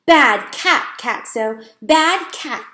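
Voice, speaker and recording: Excited little girl camper, high and loud, voiced by a woman reader, roomy echo. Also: drawn-out words, slight background noise, full character voice. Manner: shrill, excited calling.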